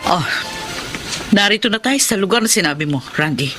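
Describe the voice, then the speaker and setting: An actress with a Filipino accent playing a jealous, hot-tempered middle-aged wife in an emotional, dramatic radio drama style. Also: female voice, mid-range voice, expressive, normal speed, fairly bright tone, faint music behind, lightly acted. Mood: indignant.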